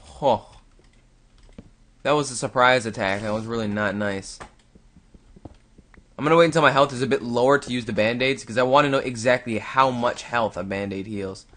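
A man's voice: mid-range voice, normal speed, pauses often, fairly bright tone. A young man giving casual, rambling gameplay commentary, talking to himself and his viewers close to the mic. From Canada. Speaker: gaming YouTuber. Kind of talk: casual let's-play commentary.

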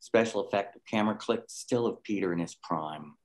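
A man's voice: lowish voice, fairly quick. A man reading stage directions as scripted narration for a drama.

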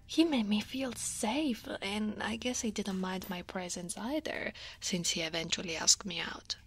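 A woman voicing a weary, easily flustered dragon office senior in an ASMR roleplay, speaking softly and breathily with a hesitant manner, often half-whispering. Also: medium pitch, unhurried, breathy edge. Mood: wistful, embarrassed. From Italy.

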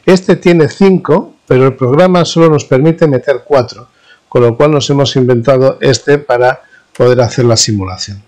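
A man with an accent from Spain gives an informal technical tutorial as an instructor, explaining haltingly and checking in with his listeners. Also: mid-range voice, darkish tone. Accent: Spanish (Spain). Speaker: university professor, instructor.

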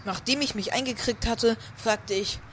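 A man's voice: very high-pitched, normal speed, fairly bright tone, slight background noise. A man narrating a parody audio drama in the first person, as scripted storytelling. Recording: music under the voice.

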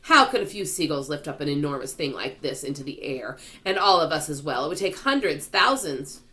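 A woman voicing a sharp, excitable old male grasshopper in a storybook, brisk and emphatic. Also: sits low. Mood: doubtful.

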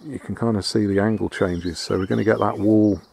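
A man giving a casual, relaxed walk-around tour, filming on a handheld camera outdoors and inside a barn, often pausing with fillers and restarts. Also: fairly low pitch, vocal fry, slight background noise. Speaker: vlogger restoring a farmhouse.

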